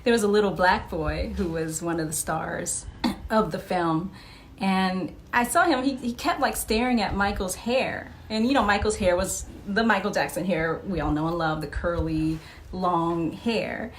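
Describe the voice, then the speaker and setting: A woman's voice: fairly low pitch, a little slow. Giggly woman telling anecdotes, noisy recording. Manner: giggly, animated when quoting people. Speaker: vlog host and memoir author. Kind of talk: casual anecdotal storytelling.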